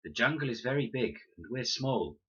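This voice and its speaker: A man voicing an earnest, sensible young girl who reasons and pleads gently in a children's audiobook. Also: medium pitch, big pitch swings, normal speed, breathy edge, dull audio, pitched above the performer's own voice. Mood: worried, reasoning.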